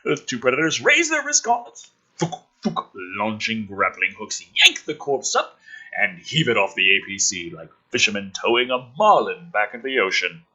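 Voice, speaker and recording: A man's voice: fairly low pitch, sing-song, moderate pace, bright, forceful tone, breathy edge, dull audio. A man reading a script aloud theatrically, with sudden emphasis and sarcastic, exasperated, profane commentary. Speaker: host reading and mocking a film script.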